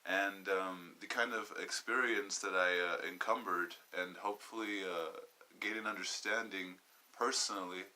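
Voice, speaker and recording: A man in his twenties from Southern California, hosting a personal podcast monologue, halting and wandering as he searches for words. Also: low-pitched, bright, forceful tone, rough recording. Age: 20s. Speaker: podcast host.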